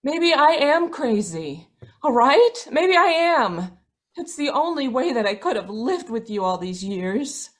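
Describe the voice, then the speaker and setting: A woman playing a frightened, bitter middle-aged wife in an old-time radio drama, intense and pleading, with a husky voice. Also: sits high, sing-song, a little slow, exaggerated voice. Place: indoors, live video call performance.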